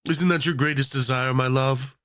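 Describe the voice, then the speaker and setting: A man's voice: mid-range voice, steady tone, moderate pace, fairly bright tone, no echo, studio quality, natural voice. A man playing a charming, obsessive tyrant in a romantic villain roleplay, drawling theatrically with mock politeness, flirtation and playful menace. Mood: coaxing, intimate.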